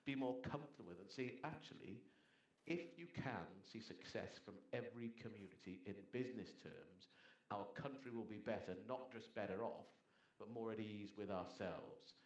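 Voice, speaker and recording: A man's voice: on the low side, moderate pace, roomy sound, poor audio. A middle-aged man born in Zambia, a former economy minister, making earnest, persuasive points on a conference panel. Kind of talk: panel discussion talk. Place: conference hall.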